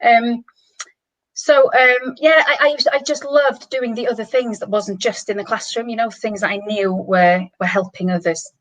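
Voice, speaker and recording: A woman's voice: a little high, steady tone, normal speed, fairly bright tone, low-quality recording. A middle-aged woman with a Welsh accent, a native Welsh speaker, talking enthusiastically as a guest on an online panel, in long flowing runs. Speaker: managing director, guest. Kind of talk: casual online panel chat.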